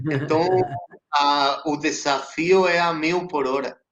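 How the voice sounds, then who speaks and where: A Chilean man, a native Spanish speaker with a Chilean Spanish accent, talking reflectively as a guest actor on a video call, halting and restarting often. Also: on the high side, fairly even intonation, a bit bright, dull audio. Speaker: guest actor and theater director. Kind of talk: casual interview chat. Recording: roomy echo.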